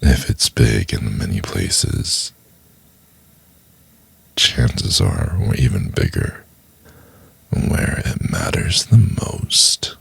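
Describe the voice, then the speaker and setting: Very deep gravelly, seductive male professor acted by a man. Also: very animated, no echo, exaggerated voice. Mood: tender, seductive.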